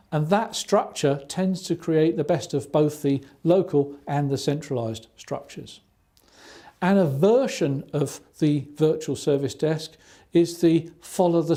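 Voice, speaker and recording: A middle-aged man giving a recorded training lecture, explaining in a measured way and listing points one by one. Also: a little high, dark tone. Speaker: IT service management trainer.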